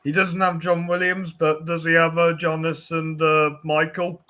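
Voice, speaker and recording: A man co-hosting a podcast, chatting casually about films in a halting way that tends to trail off. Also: fairly high pitch, level tone, bright, forceful tone, muffled recording. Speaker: podcast co-host. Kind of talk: casual film chat.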